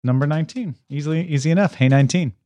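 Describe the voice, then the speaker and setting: A man with a fairly high-pitched voice chats casually as a podcast co-host. His manner is playful and bantering, and the sound is dry, with no room around it. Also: racing, mellow, no echo. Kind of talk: casual chat.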